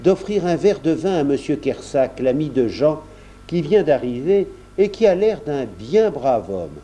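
A man's voice: on the high side, sing-song, measured pace, warm tone, pitched above the performer's own voice. A man voicing a kindly, obliging manservant who speaks with courteous deference in a storybook reading. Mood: respectful request.

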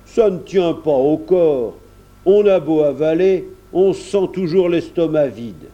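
A man's voice: fairly high pitch, animated, slow, dark tone, highs cut off, voice pushed up. A man voicing a hearty, good-natured countryman in his late thirties who talks in folksy exclamations for a storybook. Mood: polite, frank. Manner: exclamatory rustic talk with folksy turns.

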